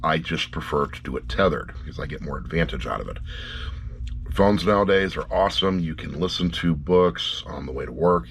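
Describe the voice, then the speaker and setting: A man's voice: low-pitched, fairly bright tone, a little hiss or hum. A middle-aged man giving a casual, rambling review to camera in a blunt, wry way, often repeating phrases for emphasis. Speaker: audiobook reviewer.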